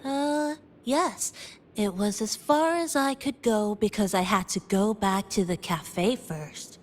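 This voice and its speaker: A woman's voice: medium pitch, slow pace, a little hiss or hum, close to an everyday voice. A woman voicing a playful, teasing young cafe companion in an anime-style game. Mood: sheepish. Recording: music behind the voice.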